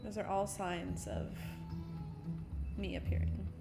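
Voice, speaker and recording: A woman's voice: lowish voice, huge pitch swings, slow, creaky. A woman streamer giving casual, chatty game commentary with joking, wry asides. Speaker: gaming streamer. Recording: game music behind voice.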